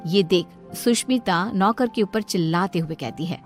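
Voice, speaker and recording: A woman's voice: on the low side, moderate pace. A woman storyteller reading scripted narration of a moral tale. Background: light background music.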